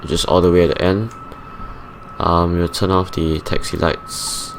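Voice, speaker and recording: Man, Singaporean English accent, raspy just-woken voice, deep, mumbled checklist talk. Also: level tone, a little slow. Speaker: flight sim streamer. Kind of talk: casual play-by-play of a simulated flight. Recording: loud aircraft engine hum behind.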